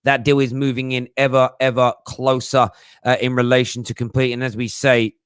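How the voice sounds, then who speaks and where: A man's voice: mid-range voice, little pitch movement, normal speed, clean tone, no room sound, studio quality. A man hosting a live football show in a matey, slang-heavy, emphatic way. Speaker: host. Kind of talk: casual live show chat.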